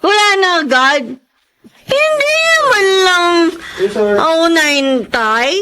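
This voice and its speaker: High, animated feisty young Filipina daughter. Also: female voice, slow, full character voice. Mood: drunk, bitter. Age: 20s. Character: feisty, hardworking 25-year-old daughter, fed up with family. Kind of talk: Tagalog radio drama acting.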